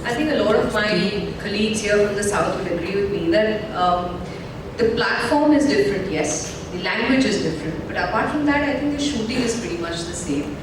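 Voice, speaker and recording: An actress answering questions at a press conference in a chatty, upbeat way. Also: female voice, medium pitch, expressive, normal speed, distant mic. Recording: echoey hall, mic from afar, noisy.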